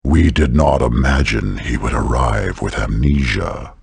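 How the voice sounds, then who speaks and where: A man's voice: very deep voice, measured pace, vocal fry, darkish tone, breathy edge, no echo, heavily acted. A man voicing a grave, wise old lord in a fantasy game, speaking in a solemn, formal manner.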